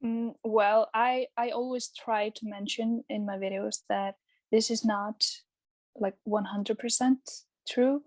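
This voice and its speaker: A woman's voice: medium pitch, a little mellow, no room sound. A young woman from Iceland, talking casually as an interview guest, chatty and full of ums and restarts. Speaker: guest YouTuber.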